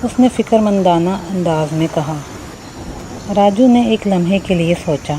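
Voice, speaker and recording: A woman's voice: on the low side, unhurried, mellow, a little hiss or hum, low-quality recording. A woman reading scripted children's story narration.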